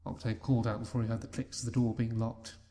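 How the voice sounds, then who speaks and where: A man reading a fan fiction story aloud in a steady, plain narration. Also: medium pitch, fast. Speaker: reader.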